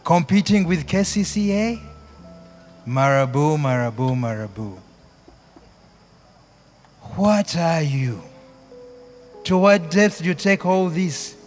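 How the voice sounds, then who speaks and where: Male poet-singer, Ugandan accent, high-pitched and unhurried, chanting theatrically over live music. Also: animated, darkish tone. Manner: chanting, repetitive, theatrical delivery. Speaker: spoken word performer, singer. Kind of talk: live spoken word poetry with singing.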